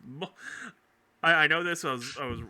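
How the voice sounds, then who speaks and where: A man chatting casually as a podcast co-host, explaining things in an animated, rambling way. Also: on the high side, normal speed, smooth. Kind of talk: casual chat, explaining fan theories about a TV franchise.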